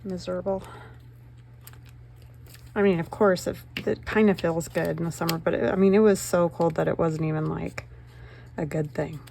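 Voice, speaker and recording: A middle-aged woman from northern Nevada, slightly hoarse, chatting and joking at herself while she crafts. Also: lowish voice, fairly even intonation, moderate pace, pauses often, warm tone, a little hiss or hum. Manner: rambling, self-mocking, talks to viewers while working. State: slightly hoarse, losing her voice. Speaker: craft channel host.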